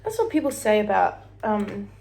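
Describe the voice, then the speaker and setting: A woman's voice: mid-range voice, big pitch swings, normal speed, creaky, darkish tone. A young Australian woman with an Australian accent, chatting casually and rambling while she does her hair and makeup at home. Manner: chatty, rambling, sings snatches of songs, drops into whispers. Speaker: beauty vlogger. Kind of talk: casual get-ready-with-me chat.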